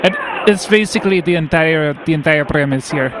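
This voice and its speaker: A man's voice: fairly high pitch, fairly quick, very noisy. A man hosting a live comedy radio show with prank calls, rambling and stumbling over his words while laughing at himself.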